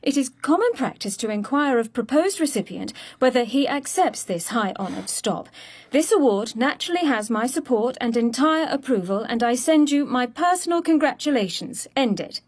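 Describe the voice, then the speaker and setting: A woman acting a brisk, fussy, devoted secretary to a spy in a radio drama, eager and proper in her expressive delivery. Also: sits high. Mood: excited, admiring.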